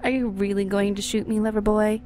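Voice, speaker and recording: A woman's voice: medium pitch, little pitch movement, brisk, warm tone, a little hiss or hum, lightly acted. An actress playing a cruel, seductive vampire ex-wife in an audio drama, mocking and theatrical, with music behind her. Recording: music bed under the voice.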